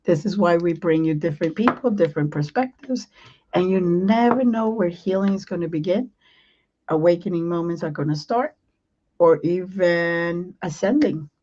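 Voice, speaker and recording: A hoarse woman hosting her show, her voice a little low and strained. She chats loosely with many ums and clears her throat. The sound is dull and lo-fi, as if over a video call. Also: moderate pace, noisy background. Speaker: host.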